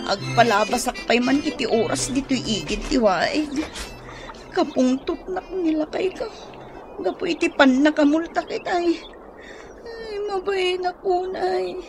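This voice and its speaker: Shrill, whiny village gossip. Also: female voice, over music. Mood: grumbling. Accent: Filipino, Ilocano. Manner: wailing, pleading, drawn-out delivery. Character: mischievous, whiny village woman gossip and prankster. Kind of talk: Ilocano comedy radio drama acting.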